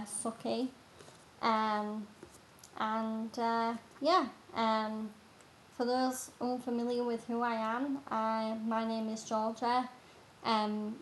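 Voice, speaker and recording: A woman's voice: on the high side, slight background noise, low-quality recording. Chatty young English woman streamer. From England. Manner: chatty, many ums and uhs. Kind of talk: casual live-stream chat. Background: game music.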